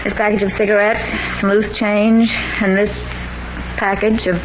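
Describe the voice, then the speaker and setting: An actress playing a spirited young newspaper reporter in a 1940s radio mystery, quick with retorts. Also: female voice, mid-range voice, level tone, natural voice. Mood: spunky. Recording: dull hissy old radio transcription.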